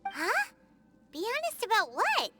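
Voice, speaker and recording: A woman's voice: very high-pitched, wildly swooping pitch, measured pace, music in the background, heavily acted. An actress voicing a tiny, cheeky childlike fairy girl companion in a video game. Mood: puzzled, curious. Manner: childlike, third-person self-reference, exclamatory. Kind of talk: video game character acting.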